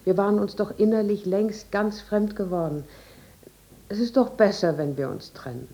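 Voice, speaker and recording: An actress as a dark-voiced, creaky resolute wife. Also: female voice, medium pitch, moderate pace, natural voice. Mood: sad, resolute. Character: estranged middle-aged wife, gently resolute. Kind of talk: 1950s German radio drama acting.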